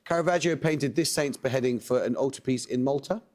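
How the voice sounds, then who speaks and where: Man, quiz host, measured and precise, mid-pitched voice, formal reading. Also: a little mellow.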